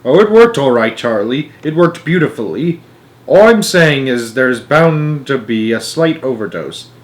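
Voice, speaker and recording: A man voicing an excitable, eccentric candy maker from a storybook, breezy and theatrical, with whimsical emphatic phrasing. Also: mid-range voice, measured pace, lightly acted. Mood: matter-of-fact.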